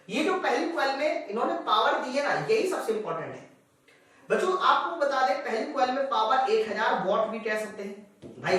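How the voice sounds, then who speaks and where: A man's voice: high-pitched, fairly bright tone. Very reverberant room, distant mic, male coaching teacher, conversational question-and-answer delivery, speaks straight to students. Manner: conversational, asks and answers own questions, addresses students directly. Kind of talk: live classroom-style lesson, working through physics problems. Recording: very echoey room, distant microphone.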